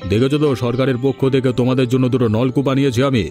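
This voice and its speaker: A man's voice: medium pitch, fairly even intonation, racing, mellow, faint music behind, natural voice. A man voicing a shifty, self-excusing village headman in a fairy-tale cartoon, with an evasive manner. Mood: defensive. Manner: stammers when cornered.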